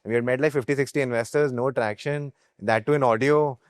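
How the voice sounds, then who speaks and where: A man's voice: medium pitch, steady tone, quick pace, dry recording, clean studio sound. A man with an Indian accent, a startup founder telling a story casually in an interview, repeating phrases and checking in with "right?".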